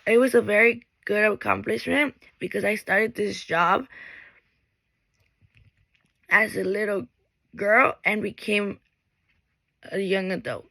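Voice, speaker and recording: Creaky bright young woman. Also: medium pitch, steady tone, slow pace, long pauses, dry recording. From Mexico. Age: late teens. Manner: earnest, reads from a script, with small restarts. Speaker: graduating high school student. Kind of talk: reading a prepared graduation speech.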